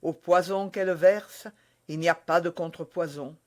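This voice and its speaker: A reader voicing a grave, brooding older musketeer who speaks in a low, heavy, broken way. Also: fairly even intonation, moderate pace, natural voice. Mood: grim. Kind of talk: audiobook character voice.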